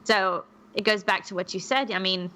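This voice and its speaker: A woman's voice: medium pitch, steady tone, normal speed, pushed and bright. A woman co-hosting a podcast in casual chat, skeptical and thinking aloud with frequent restarts. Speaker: podcast co-host.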